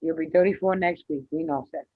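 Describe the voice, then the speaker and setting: A woman in her forties chats and gently teases her friends. Her mic sounds dull and lo-fi. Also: low voice, brisk, husky, no room sound. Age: 40s. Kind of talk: casual group chat.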